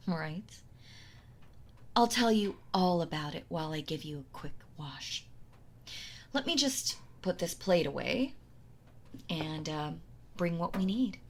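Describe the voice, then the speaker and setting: A possessive wife played by a woman in an intimate audio roleplay, speaking very slowly in a lowish voice. She coddles her captive with sweet menace and talks down to them as if they were helpless. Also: a little hiss or hum. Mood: gentle, coddling. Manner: slow, coddling, condescending, with sighs and soft laughs.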